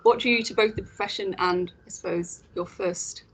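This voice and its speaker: A woman's voice: mid-range voice, steady tone, moderate pace, a bit bright, slightly rough, rough recording. A young adult woman interviewing a professor, asking her questions hesitantly and hedging with filler words. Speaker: interviewer, early career researcher. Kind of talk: conversational interview.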